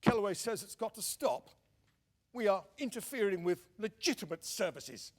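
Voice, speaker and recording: A man playing a fretful, excitable 1920s wireless engineer in a radio play, halting and emphatic. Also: sits high, slightly rough, full character voice. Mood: dismayed. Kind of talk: radio drama acting.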